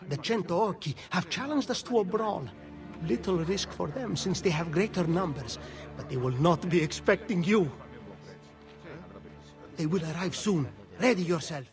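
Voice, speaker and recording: An actor playing an eager, brash young thief in a game cutscene, with an Italian accent. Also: male voice, high-pitched, lively intonation, moderate pace, over music. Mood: eager urgency. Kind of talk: dramatic video game cutscene acting.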